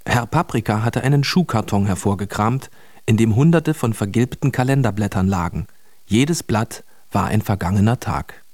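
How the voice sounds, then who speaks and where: A man's voice: fairly low pitch, animated, moderate pace, a little mellow, no room sound. A man narrating a radio play as a scripted storyteller.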